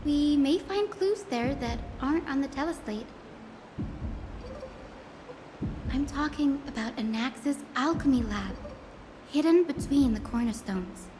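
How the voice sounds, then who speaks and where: An actress playing a learned, gently wry young female companion who tells stories in a scripted video game. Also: sits high, animated, normal speed, background noise. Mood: conspiratorial, helpful. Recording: game music under the voice. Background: background game music.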